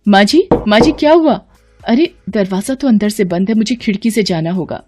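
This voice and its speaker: A woman's voice: medium pitch, moderate pace, lightly acted. Storybook young daughter-in-law, played by a female narrator: caring, anxious, respectful, light music underneath. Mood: alarmed.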